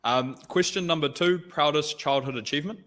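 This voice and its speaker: A man's voice: a little high, fairly quick, a bit bright. A man hosting a casual interview over a video call, upbeat and affirming as he moves through his questions. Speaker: interview host.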